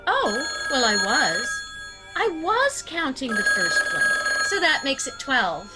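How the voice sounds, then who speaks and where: A woman playing a sweet, prim elderly aunt in a theatrical radio comedy, genteel and gently scolding. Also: sits high, sing-song, slow, over loud music, exaggerated voice, poor audio. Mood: politely insistent. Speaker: actor.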